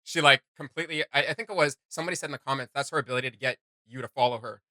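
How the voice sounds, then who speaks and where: A woman hosting a reaction video, chatting casually and excitedly as a fan and often quoting herself. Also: extremely low pitch, very fast, no room sound, studio quality. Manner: animated storytelling with self-quoting.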